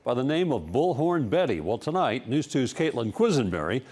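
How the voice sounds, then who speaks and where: A man's voice: medium pitch, very animated, normal speed, darkish tone. A man anchoring local television news in a scripted broadcast style.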